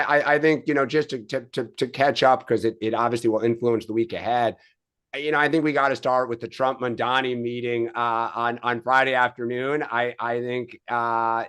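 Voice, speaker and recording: A middle-aged man co-hosting a political chat, hoarse and raspy from a cold, easygoing and stammering on repeated words. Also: medium pitch, a bit bright. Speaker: podcast co-host.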